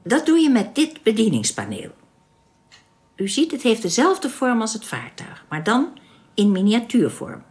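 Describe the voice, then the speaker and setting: A woman's voice: on the high side, wildly swooping pitch, a little slow, a little mellow, breathy edge, dry recording, full character voice. A woman playing a friendly, self-assured young alien spacewoman in a radio drama, polite and easily amused. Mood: amused, helpful.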